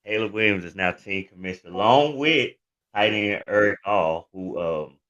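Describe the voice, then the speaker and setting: Brash co-host, bright edgy voice, dull lo-fi mic. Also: male voice, lowish voice, animated, normal speed. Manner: brash, trash-talking. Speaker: podcast co-host. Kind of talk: fantasy football banter.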